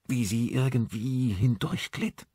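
A man's voice: mid-range voice, steady tone, slow, mellow, slightly rough, no echo, studio quality, pitched above the performer's own voice. A man voicing a frightened, sarcastic, shaken wife in an audiobook, emotional and emphatic. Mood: shaken, confiding. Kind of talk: dramatised audiobook dialogue.

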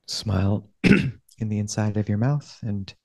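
A man leading a guided meditation as a teacher, speaking gently and unhurriedly with long pauses. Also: lowish voice, gravelly, no room sound, clean studio sound.

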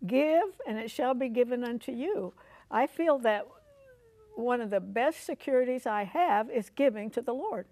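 Older female talk show host, American, higher-pitched, dry close studio sound. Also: expressive, moderate pace, a little mellow, no echo. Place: furnished living-room style TV studio set.

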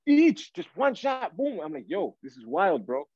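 A man's voice: sits high, big pitch swings, normal speed, darkish tone, husky, dry recording. A man in his thirties from Toronto in a casual, slangy, agreeable chat over a video call. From Toronto, Canada. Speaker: guest, R&B singer. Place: indoor room, video call. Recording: dull video-call audio.

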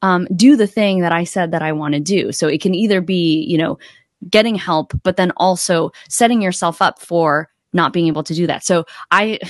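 A woman's voice: lowish voice, moderate pace, no room sound. A woman in her forties with an American accent, giving casual conversational advice as a podcast guest on a close microphone. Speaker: podcast guest, accountability coach. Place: home room indoors, video call.

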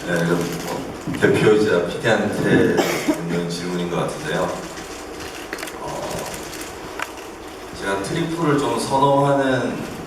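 A middle-aged man, a producer, answering at a press call in a measured, halting, formal way. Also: lowish voice. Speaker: producer and theatre company head. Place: theatre stage, recorded from the audience. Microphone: handheld mic through PA, distant camera. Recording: very echoey, distant, loud noise.

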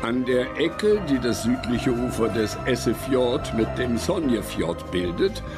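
Slow, solemn male narrator. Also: medium pitch, lively intonation, over music. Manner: solemn, formal. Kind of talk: scripted documentary narration.

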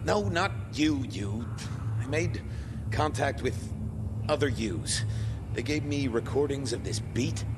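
A man's voice: medium pitch, lively intonation, slow pace, background noise. An actor playing a wry, exasperated but protective man in a zombie apocalypse video game, casual and sarcastic. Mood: indignant, wary. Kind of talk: video game cutscene acting.

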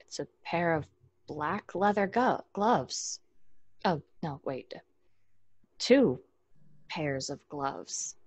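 An actress playing a wary, sardonic young twin sister in an audio horror drama, uneasy and curt. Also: female voice, sits low, animated, extremely slow, long pauses, darkish tone, breathy edge, no room sound, exaggerated voice.

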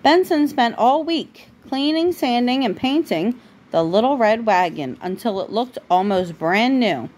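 A woman reading a picture book aloud to a child at home, breaking off for informal, gently correcting asides. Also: a little high, lively intonation, measured pace. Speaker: parent reading a bedtime story to a child.

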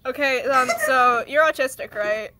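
A woman doing a halting, giggly, irreverent improvised cartoon fandub. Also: high voice, a loud crowd. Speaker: amateur fandub voice performer. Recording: noisy home recording.

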